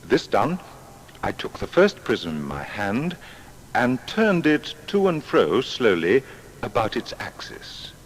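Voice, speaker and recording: An actor playing a proud, exacting seventeenth-century English natural philosopher, reading his papers in formal, deliberate diction. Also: mid-range voice, wildly swooping pitch, full character voice. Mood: measured, absorbed. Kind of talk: dramatised reading of period letters and papers. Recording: long hall reverb, faint background noise.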